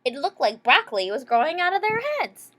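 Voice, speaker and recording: A woman's voice: high-pitched, big pitch swings, fairly quick, a bit bright. A woman reading a children's picture book aloud expressively, with explanatory asides to young listeners. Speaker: storybook reader.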